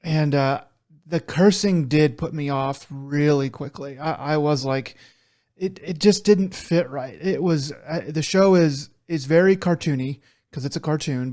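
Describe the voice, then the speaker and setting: A man co-hosting a casual talk show, giving conversational commentary in a halting way with stammered, repeated words. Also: on the high side, darkish tone. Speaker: podcast co-host.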